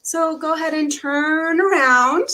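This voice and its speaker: A woman's voice: high-pitched, measured pace, bright, forceful tone. A woman hosting an interactive read-aloud for young children, speaking in an encouraging way with simple phrasing. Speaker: children's librarian and story time host.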